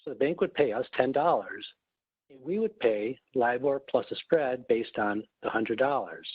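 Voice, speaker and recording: A man giving a prepared, formal webinar presentation in a measured, explanatory manner, as a corporate executive. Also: mid-range voice, animated, breathy edge. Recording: muffled phone line, roomy echo.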